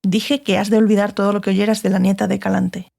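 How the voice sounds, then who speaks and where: Fantasy audiobook sorceress, female actor: stern, dry, authoritative, mid-pitched with plenty of vocal fry. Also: level tone, a little fast, mellow. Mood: sharp, irritated. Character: stern, sardonic, commanding sorceress. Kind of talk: dramatized fantasy audiobook dialogue.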